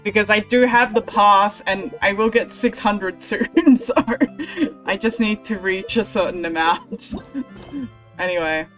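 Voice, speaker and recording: A woman's voice: mid-range voice, a little slow, a bit bright, rough recording. A woman livestreaming a video game, chatting casually to herself and her viewers. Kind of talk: casual gaming livestream commentary. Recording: game music behind the voice. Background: video game music.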